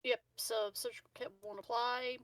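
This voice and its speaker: A woman's voice: a little high, level tone, moderate pace, a bit bright, dry recording. A woman chatting casually as a player in a tabletop game, hesitant and thinking aloud.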